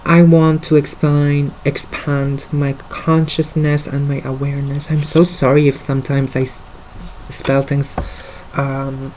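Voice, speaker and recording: A man's voice: mid-range voice, level tone, unhurried, a little mellow, slight background noise, poor audio. A man with a French accent, a native French speaker, giving a casual tarot card reading in English, talking hesitantly with many fillers. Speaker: tarot reader.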